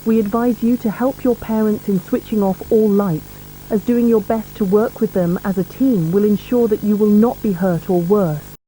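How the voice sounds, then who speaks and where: A woman's voice: medium pitch, moderate pace, hardly any pauses, warm tone, rough recording. A woman reading a scripted emergency broadcast for children in a formal, careful manner. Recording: faint hum and background noise.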